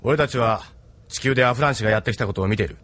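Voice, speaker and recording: Man acting a fast-talking, hearty ship captain. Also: medium pitch, a bit bright, natural voice. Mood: reassuring. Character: hearty, loyal male spaceship captain, plain-spoken and supportive. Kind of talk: anime-style audio drama acting.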